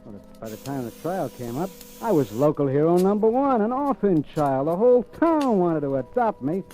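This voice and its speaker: Wanted: a cocky, cynical drifter, mellow-voiced and wisecracking, 1940s radio crime drama. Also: male voice, on the high side, sing-song, moderate pace. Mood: smug. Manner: wisecracking, slangy, offhand. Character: cocky, cynical drifter man accused of murder. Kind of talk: 1940s radio acting, first-person narration and dialogue. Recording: old radio recording with music behind.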